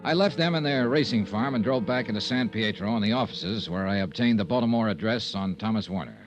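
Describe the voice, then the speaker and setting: An actor playing a self-assured insurance investigator in a 1950s radio detective drama, speaking in a clipped, dry, sardonic way. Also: male voice, on the low side, animated, brisk, over music, close to an everyday voice. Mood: wry narration. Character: hard-boiled wry male insurance investigator, self-assured. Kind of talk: 1950s radio detective drama acting and first-person narration.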